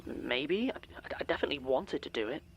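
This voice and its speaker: A man acting an anxious, earnest office assistant in an audio drama, halting and stammering with uncertainty. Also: medium pitch, lively intonation, creaky, a little hiss or hum. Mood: unsure, defensive.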